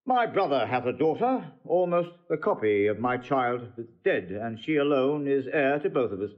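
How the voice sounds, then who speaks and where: A man's voice: mid-range voice, big pitch swings, moderate pace, lo-fi sound, close to an everyday voice. An actor playing a dignified, aggrieved old nobleman in classical Shakespearean audio drama. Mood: solemn. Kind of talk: classical Shakespearean radio acting.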